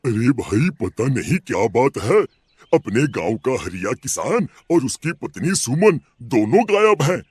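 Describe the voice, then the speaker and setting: A man playing a concerned, gossiping villager with an urgent, dramatic manner in an animated horror story. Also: mid-range voice, unhurried, husky, no echo. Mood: worried, urgent.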